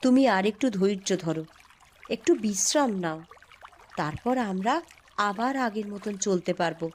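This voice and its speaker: A woman's voice: mid-range voice, animated, normal speed, slight background noise, close to an everyday voice. A woman playing a devoted, brave mother in a cartoon story, speaking in an earnest, soothing manner. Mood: reassuring. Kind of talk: Bengali cartoon voice acting.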